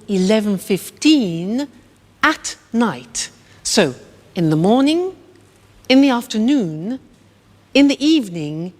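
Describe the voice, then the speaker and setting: Woman, English teacher, slow and patient, long hall reverb. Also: mid-range voice. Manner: patient, spells things out. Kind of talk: slow, clear classroom explanation.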